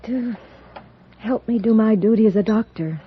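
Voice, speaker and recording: Female frontier doctor, dutiful, weary, husky, vintage western radio drama, muffled sound. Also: fairly high pitch, normal speed. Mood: grave, urgent. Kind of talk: 1950s radio western acting. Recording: dull old radio recording.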